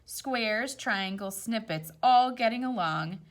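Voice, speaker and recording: A woman reading a picture book aloud to young children, expressive, with casual explanatory asides. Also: on the high side, takes it slowly. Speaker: storytime reader.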